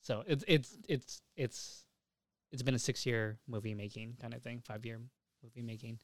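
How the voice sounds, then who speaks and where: A man's voice: medium pitch, fairly even intonation, normal speed, no room sound. A young man co-hosting a casual anime news podcast, rambling along and swearing freely. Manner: profane, rambling. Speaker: podcast co-host.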